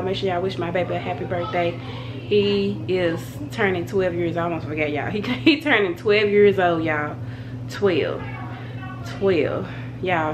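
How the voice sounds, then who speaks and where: A woman's voice: fairly low pitch, muffled recording. A young woman with a cold, chatting casually to her phone camera at home with a congested, nasal voice. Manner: chatty, rambling, addresses viewers as y'all. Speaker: family vlogger. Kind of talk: casual vlog chat to camera. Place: bedroom at home, indoors. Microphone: phone camera held at arm's length. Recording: noisy home phone recording.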